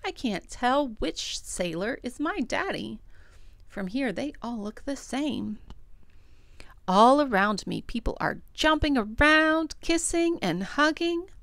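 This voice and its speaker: Woman voicing an anxious, expressive little boy, unhurried. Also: high-pitched, vocal fry, no room sound, voice pushed up, exaggerated voice. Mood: wondering, uneasy. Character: small anxious boy longing for his sailor daddy. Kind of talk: dramatic children's storybook narration in first person.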